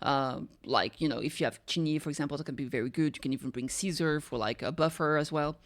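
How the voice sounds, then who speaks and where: A woman's voice: sits low, fast. A woman streamer chatting casually, rambling and thinking aloud as she reacts to games.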